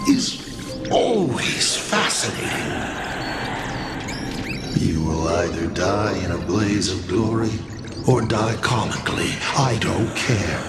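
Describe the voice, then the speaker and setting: An actor playing a smug, playful trickster villain in a video game, with a teasing, theatrical delivery. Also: male voice, lowish voice, very animated, slow pace, heavily acted. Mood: smug amused. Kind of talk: dramatic video game voice acting. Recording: echoey, with loud music. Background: loud game music.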